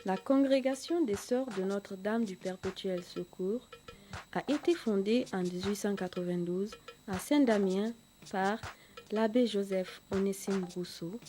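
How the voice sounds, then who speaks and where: A woman's voice: medium pitch, dark tone. A woman reading scripted documentary narration as a narrator. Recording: music under the voice.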